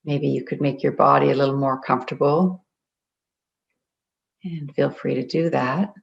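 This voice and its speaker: A meditation guide leading a group in a gentle, deliberate way, leaving long pauses between phrases. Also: medium pitch, fairly even intonation, vocal fry. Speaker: meditation guide, spiritual coach. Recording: dull, muffled lo-fi audio.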